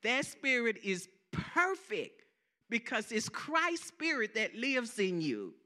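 Forceful Southern woman preaching slowly. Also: on the high side, big pitch swings. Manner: emphatic preaching, repeats phrases, asks the congregation for amens. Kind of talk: sermon.

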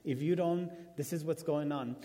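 A man with a Spanish accent, whose first language is Spanish, preaching a sermon and telling a story with animated restarts. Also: fairly high pitch, normal speed. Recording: long hall reverb.

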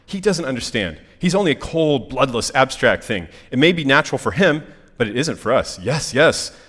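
A man's voice: mid-range voice, big pitch swings, moderate pace, fairly bright tone, in a large hall. A man voicing a small lizard that pleads and wheedles in eager chatter for a story. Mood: wheedling.

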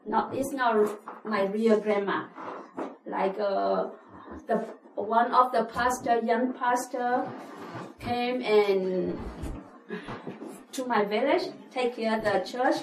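A woman's voice: on the high side, steady tone, slow, long pauses, roomy sound, recorded from a distance, background noise. A woman from Burma, telling her life story in hesitant at church. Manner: plain, hesitant, many uh fillers. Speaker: refugee giving testimony. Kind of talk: church testimony, non-native English.

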